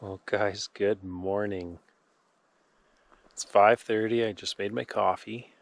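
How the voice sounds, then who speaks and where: A man's voice: on the low side, steady tone, slow pace, gravelly, no room sound. A middle-aged man talking to camera from a small boat on a lake as he fishes, chatty and matter-of-fact. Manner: chatty, talks to camera while working the rod. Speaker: fishing vlogger. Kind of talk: casual narration while fishing. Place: small open boat on a windy mountain lake. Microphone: action camera mounted on the boat. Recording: distant camera mic with loud wind and water. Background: wind and boat noise.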